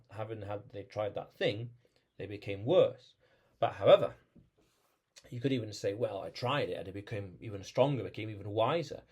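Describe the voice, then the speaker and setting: A man's voice: medium pitch, mellow. A man vlogging alone, thinking aloud about identity, with frequent restarts and repeated phrases. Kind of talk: reflective solo monologue.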